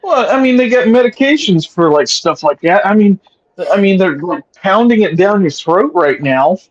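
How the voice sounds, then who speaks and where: A man chatting casually as a talk podcast guest, opinionated and crude, often halting as he speaks. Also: sits high, lively intonation, lo-fi sound. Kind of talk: casual chat.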